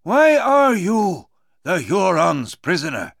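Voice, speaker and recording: An elderly, solemn chief, acted by a male narrator in a storybook tale. The voice is raised high and creaky, with slow, stern, weighty questions and commands. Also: wildly swooping pitch, fairly bright tone, dry recording, clean studio sound, heavily acted. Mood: stern, questioning.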